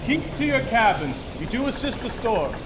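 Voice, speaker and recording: A man's voice: extremely high pitch, big pitch swings, moderate pace. A man playing a blustering, insolent ship's bosun barking orders in a Shakespearean audio drama. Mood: brusque, impatient. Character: bawling, insolent, harried ship's bosun in a storm. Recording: clear noise, online remote recording, storm ambience.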